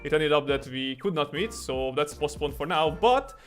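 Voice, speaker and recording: A man's voice: on the high side, lively intonation, normal speed, over music. A young man with a Hungarian accent, hosting a casual livestream and rambling with fillers and restarts. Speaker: streamer and artist.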